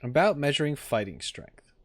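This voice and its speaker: A man's voice: fairly high pitch, sing-song, moderate pace. A man streaming a casual reaction, chatting in an informal, hesitant, musing way close to the microphone. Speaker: streamer reacting to game videos. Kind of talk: casual livestream reaction chat.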